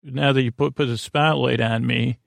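A man hosting a bedtime podcast in a creaky, lulling voice, rambling hesitantly and drifting off into tangents. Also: medium pitch, fairly even intonation, moderate pace, dry recording, clean studio sound. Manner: hesitant, digressive, full of tangents and trailing thoughts. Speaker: podcast host. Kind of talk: rambling, meandering bedtime monologue.

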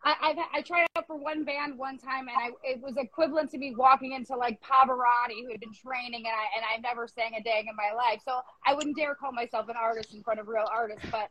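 High-voiced, rambling woman hosting a chat. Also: steady tone, quick pace, few pauses, sharp tone, low-quality recording. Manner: rambling, reflective, self-deprecating. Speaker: host of an arts interview show. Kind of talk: casual interview chat.

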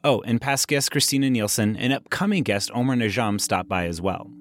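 A man hosting a podcast, introducing his guests and then reading passages aloud in a relaxed, conversational way. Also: medium pitch, brisk. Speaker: podcast host. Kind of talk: casual podcast chat.

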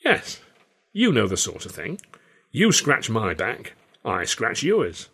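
A man's voice: on the low side, wildly swooping pitch, slow pace, vocal fry, sharp tone, husky, heavily acted. A man voicing a sly, overbearing older theatre boss, falsely genial and wheedling in a storybook reading. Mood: scheming, bargaining. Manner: wheedling, pointed, colloquial bargaining.

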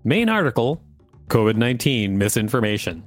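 A man's voice: mid-range voice, very animated, normal speed, faint music behind. A man co-hosting a podcast, reading an article aloud with informal, joking banter and the odd stumble. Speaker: podcast co-host.

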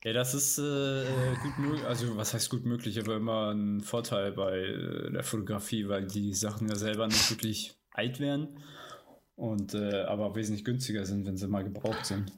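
A man's voice: lowish voice, animated, moderate pace, background noise. A man hosting a casual interview, asking questions in a hesitant way full of fillers. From Baden-Württemberg.

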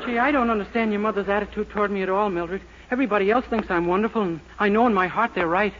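An actor playing a naive, boastful, earnest young small-town cab driver in a 1940s radio sitcom. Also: male voice, high voice, fast, lightly acted. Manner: eager, guileless, cheerfully self-assured. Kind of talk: 1940s radio situation comedy acting. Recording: noisy, dull 1940s radio recording.

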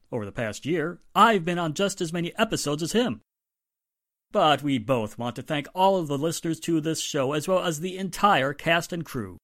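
Lively, self-important police inspector, acted by a man. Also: fairly high pitch, dry recording, studio quality. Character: touchy, self-important male radio-drama police inspector. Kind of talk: in-character radio announcement.

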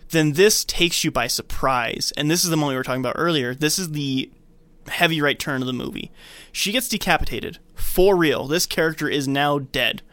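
A podcast co-host casually retelling a film scene by scene, acting out bits in an animated way. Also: medium pitch, dry recording.

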